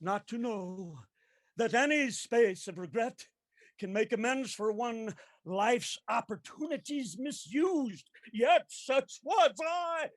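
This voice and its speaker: An actor playing the tormented, remorseful ghost of a dead miser in a radio play, declaiming mournfully with moaning, drawn-out cries. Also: male voice, extremely high pitch, lively intonation, heavily acted. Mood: anguished remorse. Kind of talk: theatrical radio play acting.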